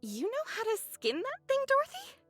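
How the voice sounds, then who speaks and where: A woman voicing a girlish, expressive young android in an anime game. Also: very high-pitched, moderate pace, faint music behind, full character voice. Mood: perky.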